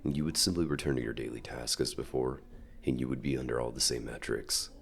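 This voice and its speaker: A man playing a suave, dominant company CEO in an ASMR roleplay, speaking to the listener with measured, polite, quietly controlling reassurance and deliberate pauses. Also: rumbling low, steady tone, vocal fry, heavily acted. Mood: persuasive, confident. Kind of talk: ASMR roleplay acting, addressing the listener directly.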